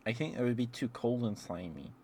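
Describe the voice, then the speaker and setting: A man's voice: medium pitch, expressive, brisk, darkish tone, a little hiss or hum. A man streaming casual, rambling ASMR chat, musing aloud hesitantly and letting thoughts trail off.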